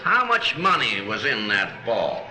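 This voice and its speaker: An actor playing a shrewd, blunt small-town lawman in a 1930s film, deliberate and dryly sarcastic as he probes and accuses. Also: mid-range voice, wildly swooping pitch, sharp tone, exaggerated voice. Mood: probing, sardonic. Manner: deliberate, probing, with dry sarcasm. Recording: roomy 1930s film soundtrack, music underneath.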